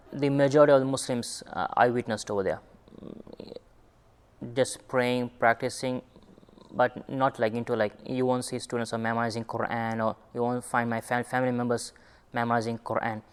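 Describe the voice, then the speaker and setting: Young guy from sri lanka, smooth clear voice, slow and thoughtful, pauses to find words. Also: medium pitch, level tone. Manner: unhurried, searching for words. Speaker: former student interviewee. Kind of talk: reflective personal interview.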